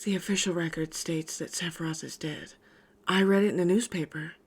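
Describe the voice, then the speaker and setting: A woman's voice: lowish voice, steady tone, a little fast, breathy edge. A woman voicing an earnest, friendly young female adventurer in conversational video game dialogue. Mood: puzzled.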